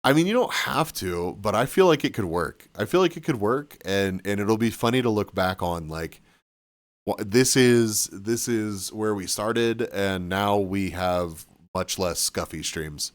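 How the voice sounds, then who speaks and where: Low-voiced guy rambling to his stream chat, sniffly n kinda hoarse, dry sound. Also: animated, unhurried, dry recording. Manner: rambling, restarts and trailing off. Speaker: livestreamer. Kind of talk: casual chat with stream viewers. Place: cabin room.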